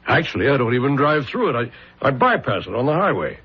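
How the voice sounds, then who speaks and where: A man's voice: medium pitch, big pitch swings, quick pace, slightly rough, full character voice. An actor playing a flustered, indignant salesman wrongly accused, stammering and protesting in a 1970s radio drama. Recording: dull, lo-fi old radio recording.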